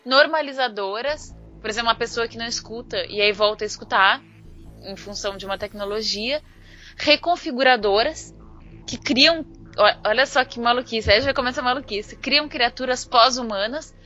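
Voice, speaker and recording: A Brazilian woman chatting informally as a podcast panelist, explaining ideas in an animated, slangy way. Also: a little high, normal speed. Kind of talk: casual discussion of ideas. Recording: dull lo-fi online audio, music underneath.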